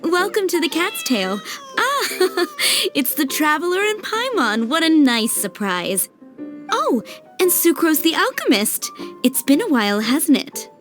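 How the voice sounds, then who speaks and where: Female tavern owner, game dub, highish voice, lively pitch, gracious, teasing, polite. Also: a little slow, faint music behind, exaggerated voice. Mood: welcoming, teasing. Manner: polite, playful. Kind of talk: dubbed video game cutscene acting.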